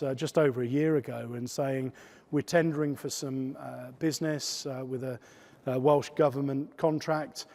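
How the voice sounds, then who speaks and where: Man with a gravelly voice, presenting on stage. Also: mid-range voice, darkish tone. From United Kingdom. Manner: hesitant, many fillers and restarts. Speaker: company CEO giving a keynote talk. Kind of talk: conference presentation.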